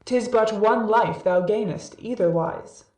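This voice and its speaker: A man's voice: high-pitched, darkish tone. A man playing a proud god of light who pleads for mercy in archaic, declamatory verse drama. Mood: pleading. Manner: lofty, measured, archaic. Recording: echoey room, distant from mic.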